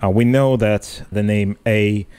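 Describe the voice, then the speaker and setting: A man with a mellow, somewhat low voice who speaks English as a second language. He chats on a podcast in a thoughtful, halting way and often restarts his sentences. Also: steady tone, clean tone. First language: not English. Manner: thoughtful, hesitant, many restarts. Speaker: podcast co-host. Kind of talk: casual analytic chat.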